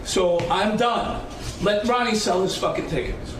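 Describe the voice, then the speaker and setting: A man's voice: high-pitched, measured pace, recorded from a distance. An angry man arguing bluntly and repetitively with heavy swearing, recorded backstage. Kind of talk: heated backstage argument. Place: backstage at a live show. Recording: noisy, echoey backstage recording, off-mic.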